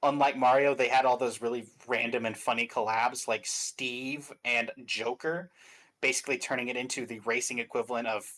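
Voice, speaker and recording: Pria mengobrol santai soal gim. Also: male voice, medium pitch, steady tone, normal speed, a bit bright. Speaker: guest commentator on game awards stream. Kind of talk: casual chat, opinionated game commentary.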